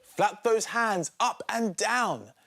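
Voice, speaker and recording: A man's voice: sits high, takes it slowly, vocal fry, sharp tone, pitched above the performer's own voice, exaggerated voice. A man voicing a cheerful, encouraging woman dance teacher in a children's storybook, calling out instructions with enthusiasm. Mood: excited.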